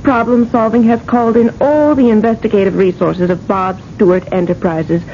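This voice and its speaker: An American actress playing an efficient, unflappable corporate secretary in a radio drama, reporting in a formal, matter-of-fact way. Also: female voice, mid-range voice, steady tone, moderate pace, dark tone, close to an everyday voice. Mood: crisp, businesslike. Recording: dull vintage radio recording, faint noise.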